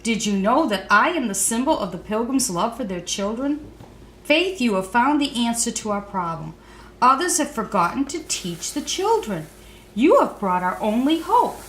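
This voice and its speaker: A woman's voice: a little high, sing-song, normal speed, fairly bright tone. Woman reading as a proud bookish statue, roomy echo. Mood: joyful, proud. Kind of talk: children's storybook read-aloud. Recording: roomy echo, faint background noise.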